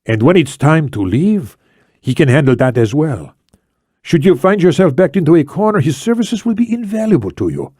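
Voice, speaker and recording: A man acts out an older Spanish ex-intelligence officer in a thriller audiobook. He is shrewd, commanding and formal, with deliberate, emphatic phrasing that swings widely in pitch. The sound is close and dry. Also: big pitch swings, dark tone, breathy edge, no room sound. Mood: confiding, eager. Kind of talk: acted audiobook dialogue.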